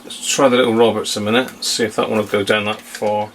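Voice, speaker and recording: A man's voice: lowish voice, little pitch movement, fast, a bit bright. A man giving a casual, off-the-cuff demonstration as a hobbyist host, hesitant and trailing off into muttered asides. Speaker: radio hobbyist, video host. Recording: home recording with faint background hiss.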